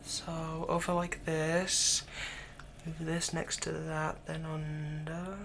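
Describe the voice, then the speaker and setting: Soft-spoken doting villain played by a man, noisy background. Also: fairly high pitch, completely flat delivery, gravelly, heavily acted. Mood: gentle, attentive. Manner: gentle, coaxing, unhurried, hesitant pauses. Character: soft-spoken doting male villain captor, slyly manipulative. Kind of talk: intimate ASMR roleplay acting.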